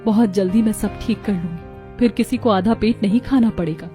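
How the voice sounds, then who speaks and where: A woman's voice: medium pitch, normal speed, warm tone, soft background music, lightly acted. A woman voicing a poor, hardworking, tender young mother who sells momos in a cartoon story.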